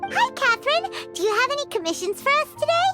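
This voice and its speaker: A woman voicing a tiny, excitable childlike fairy companion in a video game, with a squeaky voice and exclamatory manner. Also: fast talker, loud music behind the voice. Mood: startled, questioning. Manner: exclamatory, refers to herself by name.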